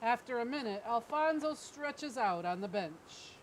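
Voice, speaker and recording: A woman's voice: a little high, lively intonation, measured pace. A woman narrating a scripted story as a storyteller, reading out the stage directions. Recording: clearly noisy background ambience.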